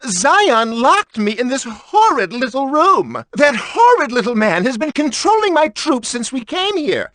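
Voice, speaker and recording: A woman's voice: sits high, big pitch swings, measured pace, few pauses, fairly bright tone, no echo, clean studio sound, heavily acted. A woman voicing a haughty, peevish aristocrat in a video game, with a pompous, theatrical and indignant way of talking. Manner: pompous, flowery wording.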